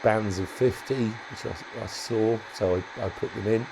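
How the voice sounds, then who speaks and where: Low, creaky-voiced man, halting delivery. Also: fairly even intonation, background noise. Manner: halting, thinking aloud. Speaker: hobbyist presenter demonstrating a modified radio. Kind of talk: casual unscripted product walkthrough.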